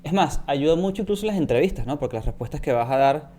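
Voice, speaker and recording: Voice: medium pitch, animated, fast talker, mellow. A podcast co-host chatting casually with a guest, often chiming in with short affirmations. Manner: frequent short affirmations, friendly back-channeling. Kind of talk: casual conversational interview.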